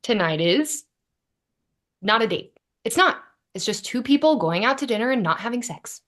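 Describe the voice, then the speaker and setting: A woman's voice: on the high side, animated, normal speed, fairly bright tone. A woman playing a wry, exasperated young woman in a sitcom script read. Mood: defensive, wry.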